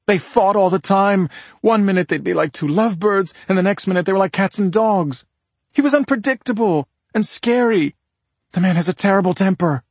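Man acting distraught woman, crime audiobook, emotional, defensive, high pitched, dry recording. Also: normal speed, husky, dry recording. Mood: troubled.

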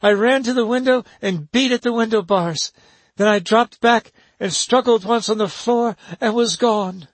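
A young woman, resolute and secretive, speaks in a hushed voice that turns sharp when pressed, as a male narrator puts her on. The voice sits a little higher than a usual man's. The recording is dry and close. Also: normal speed, no room sound. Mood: hushed, urgent. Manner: soft, hushed, then sharp and urgent.

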